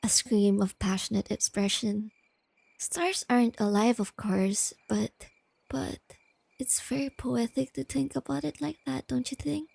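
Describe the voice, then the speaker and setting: A woman voicing a shy, nerdy young girlfriend in a soft-spoken ASMR roleplay, hesitant and tender, with a soft, breathy, often whispery delivery. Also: a little high, a little slow, dry recording, full character voice. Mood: dreamy, earnest. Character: shy, nerdy, self-doubting young girlfriend, gentle and affectionate.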